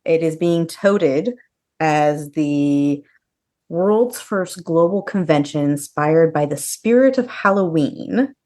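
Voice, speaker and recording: Casting: female podcast co-host, lowish register, loose conversational delivery, informal news chat. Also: lively intonation, slow pace, dark tone. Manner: conversational, peppered with "like". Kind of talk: casual chat about horror news.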